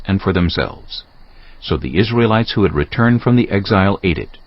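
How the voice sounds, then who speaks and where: A man's voice: sits low, breathy edge. A man narrating scripture from a script in a solemn, formal manner. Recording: muffled, music behind the voice. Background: music.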